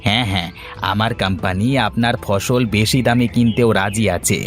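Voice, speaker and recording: A man's voice: fairly low pitch, steady tone, moderate pace, natural voice. Man playing an officious city crop buyer, music behind. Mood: businesslike, persuasive. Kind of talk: Bangla cartoon dubbing. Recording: music under the voice.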